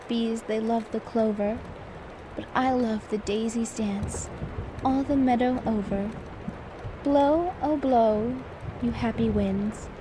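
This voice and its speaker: A woman playing a genteel, quietly competitive maid who softly reads poetry in an ASMR bedtime roleplay. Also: on the high side, noisy background. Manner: genteel, polite, gently teasing. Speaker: servant reading poetry at bedtime. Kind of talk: soft-spoken ASMR roleplay, poetry reading.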